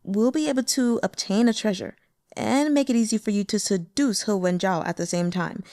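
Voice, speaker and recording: A woman's voice: mid-range voice, normal speed, mellow, no room sound, clean studio sound, close to an everyday voice. A woman voicing a loyal, stern male protector of a demonic sect who explains things in a plain, matter-of-fact way. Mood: displeased.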